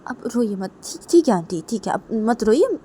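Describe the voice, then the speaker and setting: A woman voicing a reassuring young woman who gently coaxes and comforts, repeating herself. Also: on the high side, very animated, moderate pace, a little hiss or hum, pitched above the performer's own voice. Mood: soothing. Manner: gentle, repetitive coaxing.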